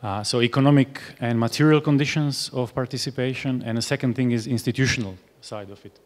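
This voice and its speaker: A man's voice: mid-range voice, fairly even intonation, a little fast. A man in his forties chairing a panel, speaking hesitantly with many fillers and restarts at a table mic. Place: large hall. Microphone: table mic on stand. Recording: reverberant hall sound.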